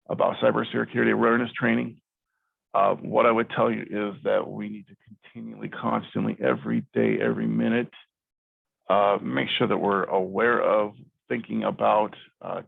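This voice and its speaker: A man's voice: medium pitch, creaky, slightly rough, no echo. A middle-aged man running an IT firm gives a webinar talk on cybersecurity over a video call, in a loose, conversational way with many fillers and restarts. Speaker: IT company CEO giving a cybersecurity talk. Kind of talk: webinar presentation. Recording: phone-like dull sound.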